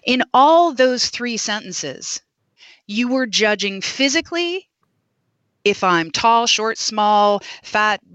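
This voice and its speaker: A woman voice coach explaining as a podcast guest, animated and demonstrative. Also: mid-range voice, no echo.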